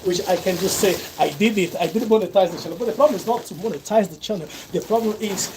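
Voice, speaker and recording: Brazilian man rambling over loud noise. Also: high-pitched, fast talker. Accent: Brazilian Portuguese. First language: Brazilian Portuguese. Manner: rambling, self-correcting, conversational. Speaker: livestreamer. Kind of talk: casual livestream chat. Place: at work, sorting bags.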